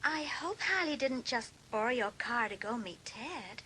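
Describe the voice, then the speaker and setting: Bright, high-voiced teenage girl. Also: animated, measured pace, slight background noise. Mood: casual. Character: teenage girl, chatty, teasing schoolfriend. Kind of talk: dramatised audiobook acting.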